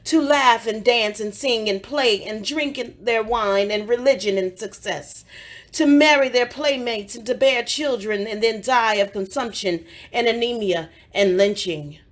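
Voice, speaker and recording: A woman reciting poetry in a solemn, dramatic style, with deliberate, measured phrasing and pauses between short phrases. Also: fairly high pitch. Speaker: poetry reader. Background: African drum music.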